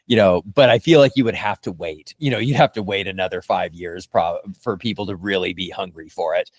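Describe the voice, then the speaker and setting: Low-voiced man chatting, dry close sound. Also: a little fast, no room sound. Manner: rambling, peppered with "you know" and "like". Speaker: podcast co-host. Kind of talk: casual speculative film and TV discussion.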